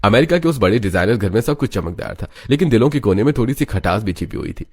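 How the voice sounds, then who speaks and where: Storyteller: man with a lowish voice, narrating a scripted cartoon family drama. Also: expressive, fast, darkish tone, breathy edge.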